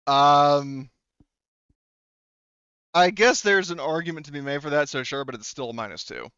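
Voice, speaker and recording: A man's voice: fairly high pitch, expressive, moderate pace, bright, forceful tone, clean tone, no echo, lo-fi sound. A man working as game master, narrating a tabletop roleplaying game off the cuff in a casual, profane way.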